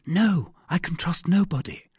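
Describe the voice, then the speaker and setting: Anxious young wife, played by a male reader: raised pitch, husky, pleading, formal storybook diction. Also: measured pace, dry recording, studio quality, heavily acted. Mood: wary, earnest. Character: affrighted, anxious pretty young married woman, earnest and pleading. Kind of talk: audiobook character voice.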